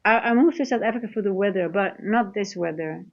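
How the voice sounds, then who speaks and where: A woman's voice: medium pitch, a little fast. A woman from the Netherlands with a Dutch accent, chatting informally as host of a livestream and talking directly to viewers. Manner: informal, conversational, addressing chat directly. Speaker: livestream host, trading coach. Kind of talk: casual livestream chat. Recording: dull lo-fi livestream audio.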